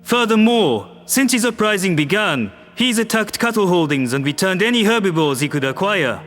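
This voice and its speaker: A man voicing a calm, firm human world leader who speaks in a measured, persuasive way. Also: high voice, faint music behind. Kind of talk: audio drama acting.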